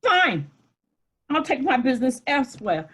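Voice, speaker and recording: A woman plays an arrogant businesswoman in her fifties for a comedy table read. The voice is raspy and fairly high, with swooping, disdainful delivery and a demanding manner. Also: sing-song, measured pace, fairly bright tone, exaggerated voice. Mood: indignant.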